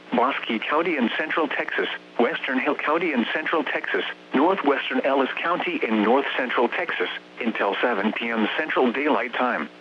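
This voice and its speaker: A synthetic male text-to-speech voice with an American accent reads scripted weather warnings as a radio announcer in a formal, measured, clipped way. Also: mid-range voice. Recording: dull, humming, noisy weather radio broadcast.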